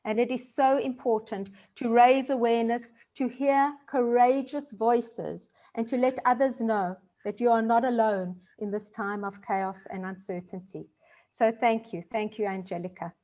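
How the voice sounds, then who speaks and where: A woman speaking on a video panel about her work, earnest and deliberate in her emphasis. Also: fairly high pitch, fairly even intonation, dark tone. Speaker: panelist, breathwork practitioner. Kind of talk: prepared panel remarks. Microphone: video call.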